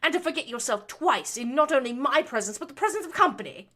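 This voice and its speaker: A woman voicing a domineering, scornful noble mother who scolds her son in a shrill, loud tirade. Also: animated, fast talker, full character voice. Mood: scolding. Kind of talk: audiobook reading of fan fiction.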